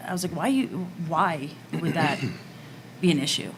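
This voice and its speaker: Female filmmaker on a panel. Lower register, informal and conversational, light room noise. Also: lively intonation, moderate pace. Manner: informal, breaks into small laughs. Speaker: documentary filmmaker. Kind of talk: casual roundtable talk.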